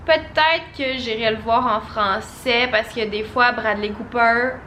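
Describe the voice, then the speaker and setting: Chatty young Québécoise vlogger, bright voice. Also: female voice, fairly high pitch, a little slow, a little hiss or hum. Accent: Québécois French. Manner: chatty and animated, full of casual fillers. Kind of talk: casual chat to camera, film review.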